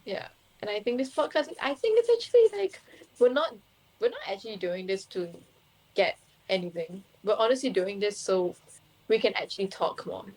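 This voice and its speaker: A woman's voice: medium pitch, lively intonation, normal speed, a little mellow, low-quality recording. A young woman co-hosting a podcast, chatting casually and earnestly and laughing easily. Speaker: podcast co-host. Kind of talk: casual chat.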